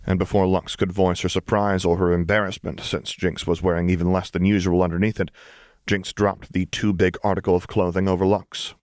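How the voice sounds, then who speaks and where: A man's voice: low-pitched, little pitch movement, fairly quick, darkish tone, no room sound, studio quality. A man reading scripted fiction as an audiobook narrator.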